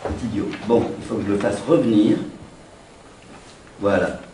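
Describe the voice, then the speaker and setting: A man with a fairly low voice talks from across an echoey seminar room while he fumbles with his setup, half talking to himself. Clear noise sits over his voice. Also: animated, moderate pace. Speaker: seminar speaker. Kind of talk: casual chat while setting up. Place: seminar room, far from the mic. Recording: roomy echo and noise from far off.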